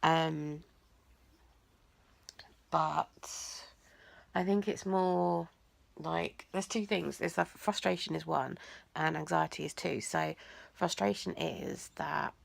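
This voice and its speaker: Young woman, low, gravelly, nasal, congested, dry close sound, hesitant with lots of ums. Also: slow, long pauses, dry recording. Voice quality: nasal and croaky. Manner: rambling, hesitant, many ums and likes. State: congested, teary. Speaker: vlogger. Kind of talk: personal vlog monologue.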